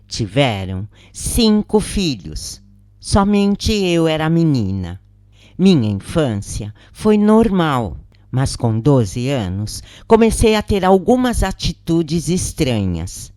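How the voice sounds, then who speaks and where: A woman with a Brazilian accent reading a first-person account as a measured, expressive audiobook narrator. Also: low voice, no echo, clean studio sound.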